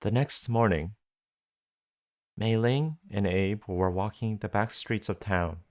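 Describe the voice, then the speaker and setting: A man's voice: on the low side, slow, a little mellow. A man giving scripted narration for a radio drama.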